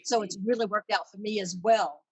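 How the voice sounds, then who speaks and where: A woman's voice: mid-range voice, steady tone, a little fast, over a noisy crowd, low-quality recording. A woman hosting an online meeting in a casual, chatty way with self-deprecating humor, speaking over a video call. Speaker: exhibition chair hosting a virtual meeting. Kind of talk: casual welcome and chat. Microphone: tablet on a video call.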